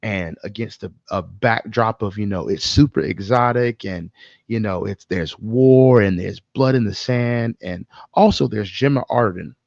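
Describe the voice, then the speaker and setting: Low, gravelly man ranting casually. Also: a little mellow, no echo, lo-fi sound. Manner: profane, emphatic, halting with pauses mid-thought. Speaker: podcast co-host. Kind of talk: casual film discussion, opinionated ranting.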